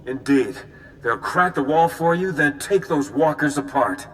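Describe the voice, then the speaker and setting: An actor playing a worried, businesslike military ally in a video game, brisk and concerned. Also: male voice, a little high, lively intonation, a bit bright. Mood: assured. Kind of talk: video game cutscene acting.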